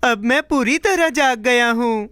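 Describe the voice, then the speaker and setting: A woman voicing a playful, food-obsessed little boy with a childlike, expressive manner in a children's cartoon. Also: on the high side, measured pace, clean tone, dry recording. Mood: alarmed. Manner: childlike, expressive, easily bored.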